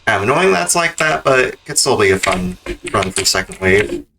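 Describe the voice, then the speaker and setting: A man's voice: mid-range voice, sing-song, normal speed, gravelly. A man giving casual gameplay commentary as a speedrun streamer. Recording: keyboard clicks and background noise.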